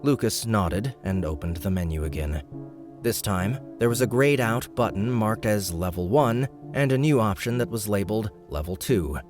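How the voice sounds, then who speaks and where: Low-voiced male audiobook narrator. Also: expressive, moderate pace, music in the background. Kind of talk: scripted narration.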